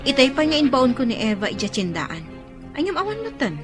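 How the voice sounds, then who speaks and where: A woman's voice: sits high, sing-song, fairly quick, over music. An actress playing a fretful mother waiting for a girl in an Ilocano radio drama.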